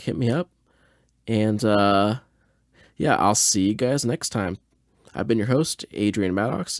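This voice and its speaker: A man's voice: fairly low pitch, creaky, dry recording, studio quality. A man hosting a podcast with casual, bemused reaction commentary in informal, slangy phrasing. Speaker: podcast host.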